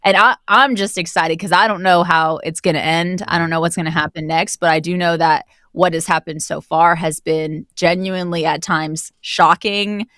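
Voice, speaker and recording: A woman's voice: fairly low pitch, steady tone, normal speed, hardly any pauses, a bit bright, no room sound, studio quality. A woman speaking casually as an interview guest who narrates audio drama, explaining things in a reflective way.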